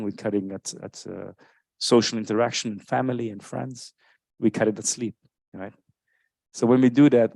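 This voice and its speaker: Low-voiced man explaining to an audience. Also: a little mellow, no echo. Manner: thoughtful, explanatory, often tags with "right?". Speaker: author answering audience questions. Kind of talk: live book launch Q&A. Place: live event space with audience.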